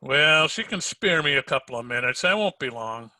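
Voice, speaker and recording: A man's voice: medium pitch, animated, normal speed, pushed and bright, no echo. A man playing a wisecracking, unflappable hardboiled private detective in an old-time radio drama style, wry and tough-talking. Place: home recording over video call.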